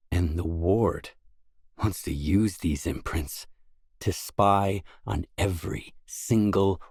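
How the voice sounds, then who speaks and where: World-weary game stalker, low husky voice, terse, brooding, dry close sound. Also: male voice, darkish tone, no echo, exaggerated voice. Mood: serious, earnest. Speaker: game character. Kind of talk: video game voice acting.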